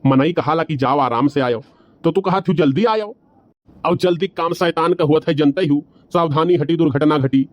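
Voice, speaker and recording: A man's voice: a little high, moderate pace, dry recording, natural voice. A man voicing an argumentative, excuse-making village husband with an Awadhi accent in a cartoon comedy. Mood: wary, quibbling. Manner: rambling, bantering, colloquial.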